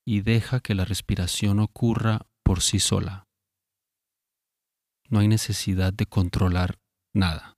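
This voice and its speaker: A man narrating a guided sleep meditation in a calm, soothing manner, giving unhurried instructions with long pauses. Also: lowish voice, no room sound, clean studio sound.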